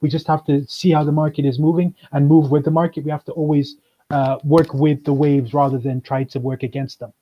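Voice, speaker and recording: A man, a guest speaking in a measured, explanatory way on a video call. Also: a little high, little pitch movement. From Kenya. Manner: measured, explanatory, with frequent ums. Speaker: guest, chamber of commerce manager. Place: indoors, plain room. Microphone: webcam on video call. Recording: dull lo-fi video call audio.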